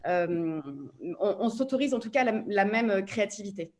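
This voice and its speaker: A woman's voice: mid-range voice, normal speed, darkish tone. A woman speaking conversationally as a panelist, often repeating words as she thinks aloud. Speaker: panelist, audio fiction publisher.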